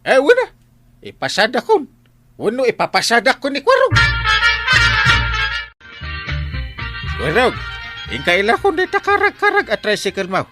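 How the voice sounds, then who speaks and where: A man with an Ilocano accent acting an excitable, suspicious middle-aged village captain in a comedy radio drama, exclaiming and sputtering. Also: very high voice, moderate pace, music in the background, heavily acted. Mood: indignant. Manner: exclaiming, sputtering, swooping between grumbles and yelps.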